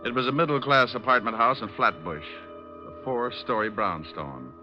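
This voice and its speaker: A man's voice: medium pitch, normal speed, music in the background, lightly acted. A man playing a shrewd, wisecracking tough private detective in a 1940s style radio drama. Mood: wry, breezy. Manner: sardonic, wisecracking. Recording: muffled vintage radio recording.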